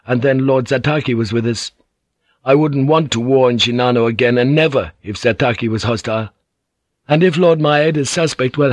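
A man's voice: medium pitch, dry recording, pitched above the performer's own voice, close to an everyday voice. A man voicing a weathered one-eyed samurai soldier who speaks plainly and bluntly.

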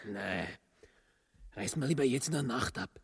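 An actor playing an irritable, bossy, practical soldier in a radio farce, with a husky voice and an Austrian accent. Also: male voice, medium pitch, lively intonation, normal speed, heavily acted. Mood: practical, wary. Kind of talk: theatrical radio play acting in dialect. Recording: reverberant studio radio play.

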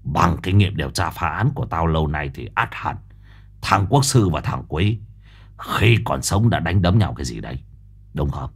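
A brusque, cursing investigator with a husky, very deep voice that swings widely in pitch, as a man puts him on. He growls his words, short-tempered and demanding in a spoken ghost story. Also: lively intonation, normal speed, heavily acted. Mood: insistent. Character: gruff middle-aged male investigator, impatient, cursing. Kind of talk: audiobook character voice.

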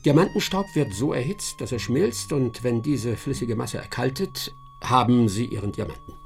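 An actor playing a proud, sharp-witted English master detective in a radio drama, self-assured and lecturing. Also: male voice, medium pitch, lively intonation, normal speed, gravelly, faint music behind. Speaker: detective Herlock Sholmes.

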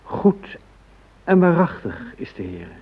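A man acting a devout psalm reader in a radio play, reciting in a measured, reverent, declamatory way. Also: a little high, big pitch swings, husky, full character voice. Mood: solemn, reverent. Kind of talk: solemn scripture recitation in radio drama. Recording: thin, phone-like old radio recording.

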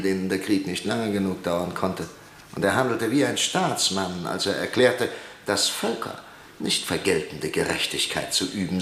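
A man's voice: sits low, fairly bright tone. An actor playing an older male deputy and professor arguing earnestly in a radio drama. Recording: roomy echo.

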